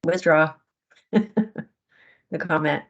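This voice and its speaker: A woman chairing a committee meeting on a video call, brisk and correcting herself as she reads. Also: low-pitched, dull audio.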